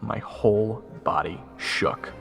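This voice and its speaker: A man's voice: on the low side, takes it slowly, creaky, a bit bright, exaggerated voice. A man playing an eager but nervous young soldier who narrates his fantasy adventure with wry self-deprecation. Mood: wry narrating. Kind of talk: first-person fantasy audio drama narration. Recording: orchestral game music behind.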